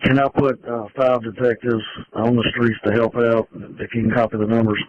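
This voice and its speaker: A man's voice: medium pitch, level tone, moderate pace, dull audio, slight background noise. A man calling in as a police officer over a two-way radio.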